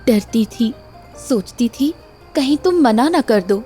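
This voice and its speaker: A woman's voice: a little high, animated, slow, mellow, soft background music. A woman voicing a sincere, hopeful young village wife in a cartoon moral story, with earnest emotion. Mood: shy, hopeful.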